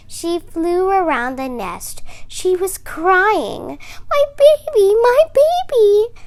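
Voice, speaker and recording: Brief: woman storyteller for young readers, high voice, lively pitch, very deliberate. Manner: slow, expressive, emphatic. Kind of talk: children's book read-aloud.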